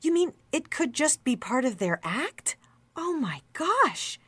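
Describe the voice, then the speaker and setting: An actress playing a young, excitable, chatty office worker in a radio drama, gushing and earnest. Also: female voice, high voice, slow, no room sound, full character voice. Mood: excited, gushing. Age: young adult.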